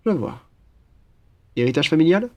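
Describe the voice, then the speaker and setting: A man's voice: fairly high pitch, big pitch swings, normal speed. A young man playing an upbeat, cheeky student roommate in a French roleplay, with a playful, slangy, enthusiastic manner. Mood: casual, friendly. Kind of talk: casual French roleplay acting.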